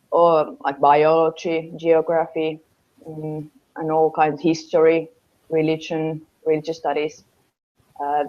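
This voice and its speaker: A young Finnish woman speaks English with a Finnish accent, her voice set a little low for a woman. She halts often and fills gaps with "uh" while she searches for words. The sound is dull and lo-fi, like a home video call. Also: darkish tone. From Finland. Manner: hesitant, searching for English words, many fillers. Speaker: interviewee. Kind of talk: casual interview answers. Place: small room at home, indoors.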